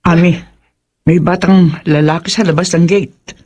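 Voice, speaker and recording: A woman's voice: on the low side, steady tone, unhurried, a little mellow, husky, lo-fi sound. An actress playing a serious, disapproving older woman in a horror audio drama, questioning and reproving. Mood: concerned.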